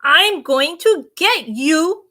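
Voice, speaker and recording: An exasperated schoolgirl played by a woman reading a storybook, high and bright. She is the big sister, protesting and pleading in emphatic, drawn-out phrases. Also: lively intonation, exaggerated voice. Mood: threatening. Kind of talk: children's storybook read aloud.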